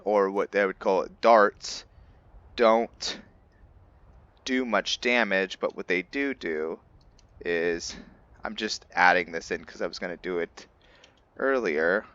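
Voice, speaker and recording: A man giving casual let's play commentary as host of a roleplay series, thinking aloud in a halting way. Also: low voice, fairly bright tone, dry recording, highs cut off.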